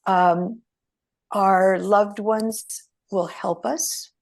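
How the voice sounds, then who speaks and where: A woman whose first language is Greek, speaking as an interview guest in a reflective, halting storytelling way. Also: medium pitch, steady tone, a little mellow. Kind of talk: interview conversation.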